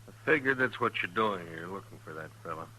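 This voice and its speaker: Low, hard-edged frontier loner. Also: male voice, animated, fairly quick, sharp tone, husky, full character voice, poor audio. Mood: guarded, terse. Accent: American. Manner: terse, folksy, stubborn. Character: gaunt, guarded, fatalistic frontier station keeper, troubled loner. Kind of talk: old-time radio western drama.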